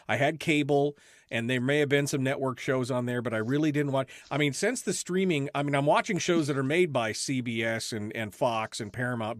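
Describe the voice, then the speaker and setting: A man's voice: medium pitch, no room sound. A man hosting a radio show in casual talk-radio chat, with a folksy, blunt and opinionated way of talking.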